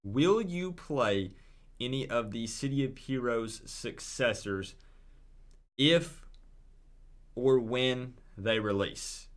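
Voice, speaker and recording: A man hosts a live call-in stream in a medium-pitched voice. He talks casually and directly to his audience, with an informal, encouraging way of drawing them in. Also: lively intonation, slow, long pauses. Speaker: livestream host.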